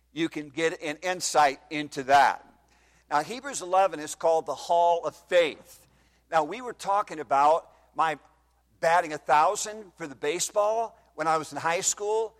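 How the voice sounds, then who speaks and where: A man in his fifties preaching a sermon in a church, reading scripture in old-style wording and putting questions to the congregation. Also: fairly high pitch. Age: 50s. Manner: reads scripture in King James wording. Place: church hall, slightly echoey. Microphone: microphone.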